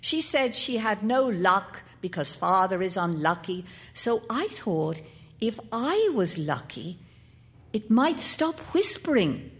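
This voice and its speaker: A woman's voice: medium pitch, very animated, slow. A woman voicing an anxious, intense young boy who stammers and pleads.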